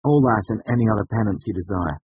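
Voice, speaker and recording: A man's voice: lowish voice, brisk, husky, dry recording, dull audio, pitched above the performer's own voice. A man voicing an earnest, nervous young male student who speaks in a pleading, deferential way, in an audiobook. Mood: earnest, hopeful. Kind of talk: audiobook character dialogue.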